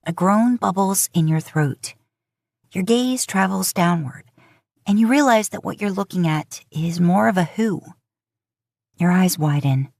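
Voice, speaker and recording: A woman narrating scripted romantic fiction in a measured, expressive storytelling manner. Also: lowish voice, creaky, no room sound, studio quality. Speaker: podfic narrator.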